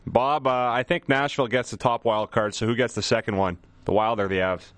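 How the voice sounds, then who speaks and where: A male radio co-host with a medium-pitched voice. He runs through sports news in a dry studio sound. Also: fairly even intonation, fairly quick, fairly bright tone, no room sound.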